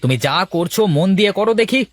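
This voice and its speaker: A man acts out a long-suffering village potter in a folk-tale cartoon. The voice is high for a man and very lively, with a grumbling edge. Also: quick pace, no room sound. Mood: exasperated.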